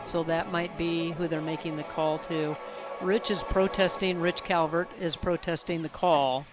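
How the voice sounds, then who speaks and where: A woman's voice: on the low side, steady tone, a little slow. A woman doing live sports commentary in a tentative way, thinking aloud as she goes. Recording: live sports broadcast with music behind.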